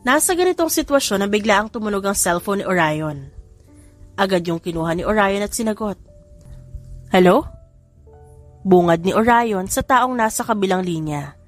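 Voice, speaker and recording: A woman's voice: mid-range voice, expressive, a little fast. A woman with a Filipino accent reading a scripted dramatic story as an audiobook narrator. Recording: music bed under the voice. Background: music.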